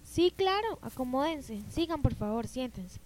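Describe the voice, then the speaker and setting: A woman's voice: high-pitched, animated, unhurried. A woman playing an outspoken, bookish young feminist in a radio drama, articulate, with a Colombian accent. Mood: welcoming. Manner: articulate, explanatory.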